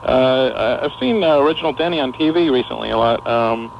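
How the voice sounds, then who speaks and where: A man phones in to a talk radio show, his mid-pitched voice gravelly and full of fry as he hesitates and restarts his sentences. It comes through muffled AM radio audio with a light hiss. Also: fairly quick. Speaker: radio caller.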